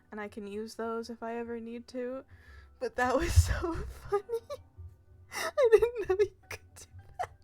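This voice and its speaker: Giggly high-voiced American woman streamer. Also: vocal fry. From United States. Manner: giggly, rambling, self-deprecating. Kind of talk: casual gameplay commentary.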